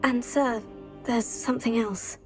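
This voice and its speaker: A woman's voice: a little high, steady tone, measured pace, soft background music, lightly acted, poor audio. A woman playing an earnest, inquisitive teenage witch student in a video game, polite in manner. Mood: urgent, questioning. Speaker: character voice actor. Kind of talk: dramatic video game cutscene acting.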